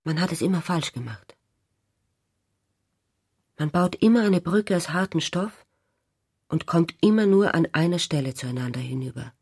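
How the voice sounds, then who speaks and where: A woman's voice: fairly low pitch, animated, normal speed, pauses often, a little mellow, husky, dry recording, studio quality. A woman reading literary prose as a narrator in a radio drama.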